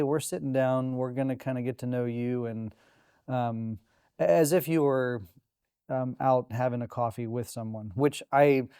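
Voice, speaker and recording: Smooth-voiced podcast host, rambling. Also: male voice, mid-range voice, darkish tone, no room sound, studio quality. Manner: rambling, many fillers, laughs easily. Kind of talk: church podcast interview.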